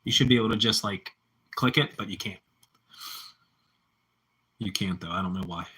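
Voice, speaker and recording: A man's voice: lowish voice, moderate pace, sharp tone, slightly rough. A man with a Pittsburgh accent chatting casually with live-stream viewers, rambling and repeating himself as he answers the chat.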